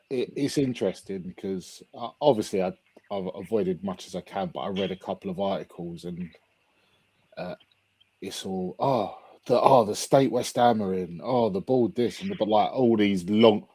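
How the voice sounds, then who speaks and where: Bloke, husky low voice, dry close sound, blunt and a bit sweary. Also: male voice, moderate pace, warm tone, no room sound. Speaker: podcast panelist. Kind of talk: casual chat.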